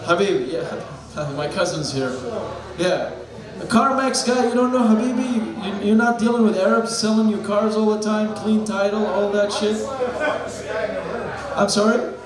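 A young man doing a deadpan stand-up set with dark jokes on a bar stage, talking into a handheld mic. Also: high voice, unhurried. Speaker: stand-up comedian. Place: small bar stage with a live crowd. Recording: echoey room with loud crowd.

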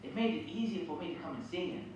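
A woman's voice: on the low side, lively intonation, fast talker, a little mellow, natural voice. A woman voicing an eager, friendly sheep puppet in a scripted show, with projected stage delivery. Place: church hall, puppet stage, far camera. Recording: very echoey church, distant noisy mic.

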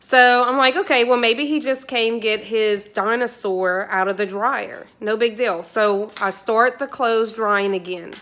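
A woman's voice: a little high, fairly even intonation, unhurried, few pauses, fairly bright tone. A woman, telling a home mishap story to a phone camera in her kitchen, exasperated and chatty. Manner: exasperated, chatty, addresses viewers directly. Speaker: vlogger, mother. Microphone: phone at arm's length.

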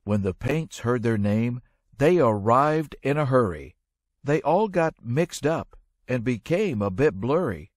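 Slow, animated male storybook narrator. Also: medium pitch, dry recording, studio quality. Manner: expressive, measured storytelling. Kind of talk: rhyming children's read-aloud narration.

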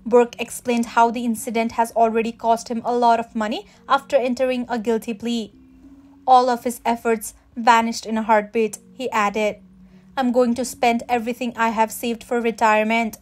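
A woman's voice: on the high side, level tone, normal speed. Woman reading a scripted news voice-over. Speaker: channel narrator.

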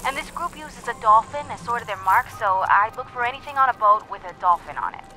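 A woman's voice: on the high side, lively intonation, fast talker, bright, forceful tone. A young American woman voicing a brash, hot-tempered, superpowered vigilante in a video game, with a sarcastic, slangy, defiant manner. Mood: matter-of-fact. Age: young adult. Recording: game audio with music behind.

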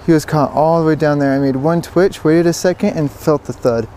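The guy on a streamside action-camera fishing video, talking excitedly in a soft-edged, slightly high voice over faint water noise. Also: fairly quick. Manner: exclamatory, repeats words in bursts. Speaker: fishing vlogger. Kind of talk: excited casual commentary while fishing. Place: outdoors beside a stream. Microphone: body-worn action camera. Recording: outdoor water noise behind voice.

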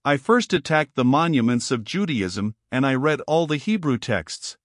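A man voicing a calm, haughty fallen angel. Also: medium pitch, no echo, clean studio sound, close to an everyday voice. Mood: impassive. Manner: calm, impassive, measured. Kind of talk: audiobook character reading.

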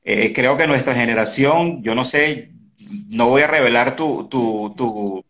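A man in his early fifties hosting a casual interview on a video call, speaking haltingly and often repeating words. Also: mid-range voice, a bit bright. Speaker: interview host. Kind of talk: casual conversational online interview. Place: indoor room at home. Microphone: video call, device close in front.

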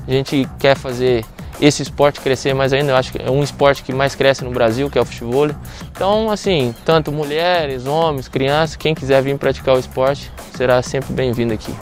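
A man in his thirties from Venezuela, a former footballer being interviewed outdoors on a sand court, talking in a relaxed, chatty way with frequent restarts. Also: medium pitch, normal speed, faint music behind. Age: 30s. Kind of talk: casual interview.

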